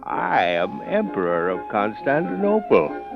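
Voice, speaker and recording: Self-indulgent emperor, slow and wheedling, swooping pitch, radio drama, muffled old recording. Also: male voice, sing-song, vocal fry, music in the background, exaggerated voice. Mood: smug, coaxing. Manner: hesitant, drawling, chuckling condescension.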